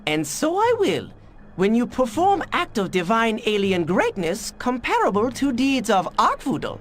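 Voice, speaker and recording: An actress playing a proud, clever Japanese scientist in a video game, with a Japanese accent and stilted, formal phrasing. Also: female voice, a little high, huge pitch swings, normal speed. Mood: haughty, awed. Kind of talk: video game cutscene voice acting.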